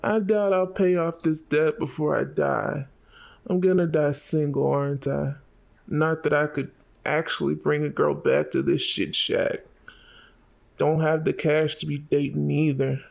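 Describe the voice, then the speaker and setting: A man acts out a penniless teenage devil hunter from an animated motion comic. He speaks slowly in a fairly high, fry-laden voice. He sounds worn down and blunt, resigned to his lot, with music underneath. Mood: wistful, resigned. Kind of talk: fan motion comic voice acting.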